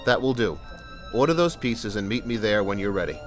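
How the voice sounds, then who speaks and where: A man's voice: fairly low pitch, animated, a little fast, over music, close to an everyday voice. A man playing a patient, reassuring police sergeant in an audio drama. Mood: firm. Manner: reassuring, plain-spoken, patient. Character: calm, fatherly male police sergeant negotiating.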